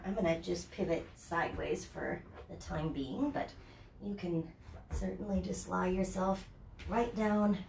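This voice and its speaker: A woman with a stuffed-up summer cold teaching a yoga class at home, chatty at first and then giving slow, soothing cues. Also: on the low side, gravelly, recorded from a distance, slight background noise. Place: home, near a porch patio set. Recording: echoey room from off the mic.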